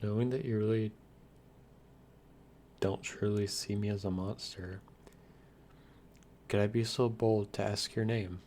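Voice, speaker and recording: A man playing a shy, lonely, youthful vampire lord in an ASMR roleplay, stammering earnestly with hesitant, softly formal manners. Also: on the low side, steady tone, a little slow, long pauses. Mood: touched, hesitant. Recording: clear background hiss and noise.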